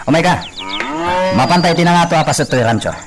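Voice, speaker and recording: A man's voice: fairly high pitch, lively intonation, fast, a crowd in the background, close to an everyday voice. A man with an Ilocano accent playing an assertive hacienda master who gives orders in a radio drama.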